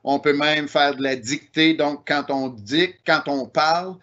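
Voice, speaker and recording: A man's voice: fairly high pitch, a little slow, pushed and bright, highs cut off. A man with a Québécois French accent giving an online technical presentation, explaining step by step with frequent "euh" and "ben" fillers.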